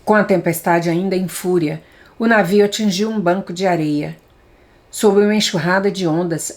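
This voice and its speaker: Brazilian woman reading aloud, lowish voice. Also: darkish tone. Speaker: audiobook reader.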